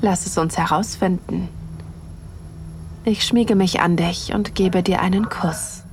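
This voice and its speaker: A woman playing an excited, aroused wife who narrates an erotic audio drama in an intimate, breathy manner. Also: fairly low pitch, slow, a little hiss or hum. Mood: playful, aroused.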